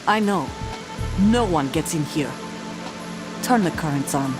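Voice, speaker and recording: An actress playing a defiant, bitter young mercenary commander in a video game, with a curt manner. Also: female voice, low-pitched, lively intonation, unhurried, music in the background. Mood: tense, distrustful. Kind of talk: dramatic video game cutscene acting.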